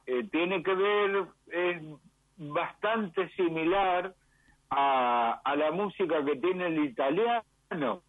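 Slow Argentine man on a phone line. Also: on the high side, dry recording. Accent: Argentine Spanish. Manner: measured, explains with pauses. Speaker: radio guest. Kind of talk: conversational radio chat.

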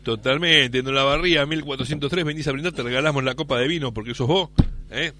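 A man's voice: mid-range voice, quick pace, background noise. An Argentine man in his fifties hosting with casual, jokey, slangy banter and repeated catchphrases. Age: 50s. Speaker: radio host.

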